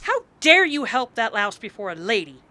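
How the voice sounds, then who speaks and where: A woman's voice: fairly high pitch, very animated, normal speed, bright, forceful tone, slight background noise. A woman playing a brazen, theatrical saloon lady, haughty and dramatic, in an old-time radio Western. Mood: indignant.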